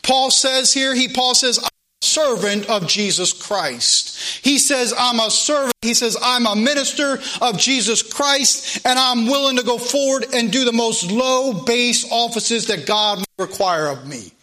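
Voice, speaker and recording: A man's voice: very high-pitched, unhurried, pushed and bright. A man with an American accent preaching a fervent sermon, emphatic and exhorting, repeating phrases to drive them home. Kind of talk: fervent sermon with scripture readings.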